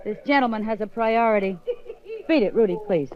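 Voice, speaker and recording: An actress in 1950s radio drama playing a world-weary, flirtatious taxi dancer who teases and turns bitter. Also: female voice, medium pitch, animated, fairly quick, natural voice. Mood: flirty. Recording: dull old radio broadcast, music behind.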